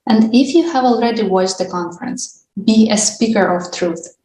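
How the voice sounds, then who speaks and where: A woman's voice: a little high, moderate pace, dark tone. A woman co-hosting an international discussion, reading scripted announcements. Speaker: co-host of an international online discussion. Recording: echoey room with background noise.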